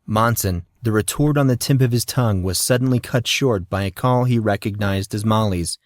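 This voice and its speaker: A man's voice: fairly low pitch, normal speed, no echo, clean studio sound. A man reading scripted fantasy fiction as an audiobook narrator.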